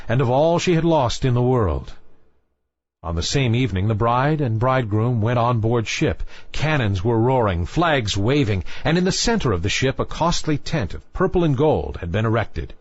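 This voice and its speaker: A man reading a fairy tale as a storyteller, in a measured literary style. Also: medium pitch, animated, dry recording, dull audio. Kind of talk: scripted fairy tale narration.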